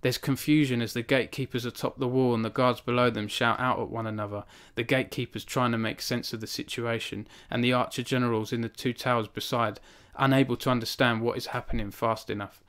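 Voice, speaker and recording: A man's voice: medium pitch, fairly even intonation, fairly quick, few pauses, fairly bright tone, smooth. Man reading aloud and chatting to viewers. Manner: earnest and self-conscious, with frequent restarts and fillers when chatting. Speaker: author hosting a book launch stream. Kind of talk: reading aloud from his novel, then chatting with live viewers. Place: small home room. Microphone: studio microphone on a stand in front of him.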